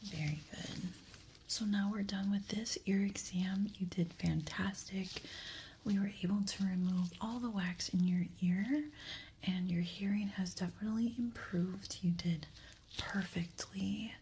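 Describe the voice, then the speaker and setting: A woman whispering gently and reassuringly in a breathy voice, playing a doctor who guides the listener through an ASMR exam. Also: medium pitch, fairly even intonation, a little slow, slight background noise.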